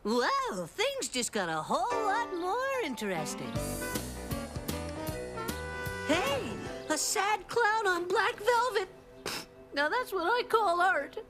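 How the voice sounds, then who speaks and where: A woman's voice: extremely high pitch, sing-song, slow, loud music behind the voice, full character voice. A woman voicing a cocky, naive little cartoon boy who sounds earnest and self-assured. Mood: eager. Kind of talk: cartoon voice acting.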